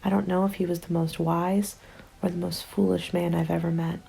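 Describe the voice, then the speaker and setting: A woman acting as a despairing apocalypse survivor narrates her story in an intimate ASMR roleplay. Her voice is soft and mellow, with a gravelly fry at the edges, and it often drops into a whisper. The delivery stays subdued and narrow in melody. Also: on the low side, flat delivery, moderate pace, exaggerated voice. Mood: bleak, despairing. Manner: hushed, often slipping into whisper. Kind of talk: ASMR roleplay, scripted first-person narration. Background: soft music bed.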